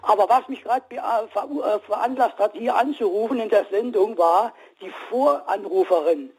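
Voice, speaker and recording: A man in his sixties calling in to a radio show, telling his story haltingly with many restarts. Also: sits high, a little mellow, husky, low-quality recording. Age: 60s. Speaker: caller. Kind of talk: call-in radio testimony.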